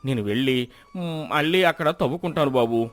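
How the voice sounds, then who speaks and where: A man's voice: medium pitch, normal speed, no room sound, natural voice. A man voicing a meek, flustered village man in a moral story, hesitant and apologetic.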